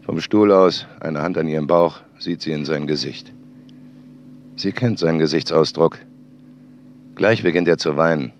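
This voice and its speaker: An actor playing a stern, aloof storyteller who narrates dramatically in short, deliberate sentences on an old home tape. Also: male voice, slight background noise. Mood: grave, intense. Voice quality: very deep, gravelly.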